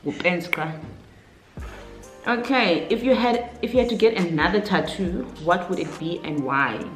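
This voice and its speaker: Low-pitched South African woman, roomy sound. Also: unhurried. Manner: informal, conversational, thinking aloud. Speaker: YouTuber, vlogger. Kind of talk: casual chatty question-and-answer vlog. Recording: roomy echo, faint music underneath.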